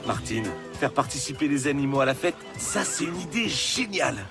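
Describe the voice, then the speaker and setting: A man voicing an impressed adult villager in a French animated series. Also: a little high, moderate pace, creaky, over music. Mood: admiring.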